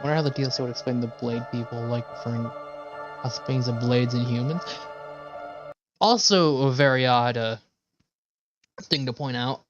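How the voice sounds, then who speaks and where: A man's voice: medium pitch, normal speed, pauses often, muffled recording, over music. A man streaming a game, chatting casually and thinking aloud in a rambling, hesitant way. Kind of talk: casual livestream chat while playing.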